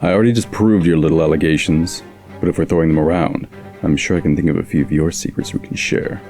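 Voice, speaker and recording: Man as scheming rich villain, low voice, smug, condescending, audio drama with faint music. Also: steady tone, fast talker, a little mellow.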